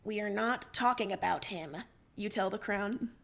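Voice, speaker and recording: A woman's voice: mid-range voice, unhurried, gravelly, a bit bright. A woman narrating second-person fiction expressively as a livestream story reader.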